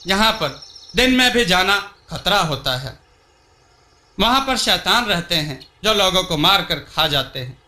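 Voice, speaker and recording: A wise old villager from a storybook, played by a man, explains and cautions solemnly. The voice is high and very bright, with an echo around it. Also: heavily acted. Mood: grave warning. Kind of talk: storybook dialogue.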